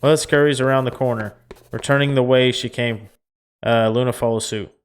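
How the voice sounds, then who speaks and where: A man's voice: mid-range voice, fairly even intonation, fairly quick, clean tone. A man reading a visual novel aloud as a game streamer, mixing narration with casual reactions.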